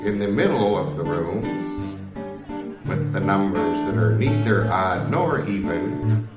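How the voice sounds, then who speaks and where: Man playing a slow, gushing dance-night MC. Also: low voice, lively intonation. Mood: polite, instructive. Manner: effusive, formally polite announcer with drawn-out emphasis. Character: gushing, courteous male MC at a community dance night. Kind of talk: improvised comedy sketch. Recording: roomy sound with guitar music behind.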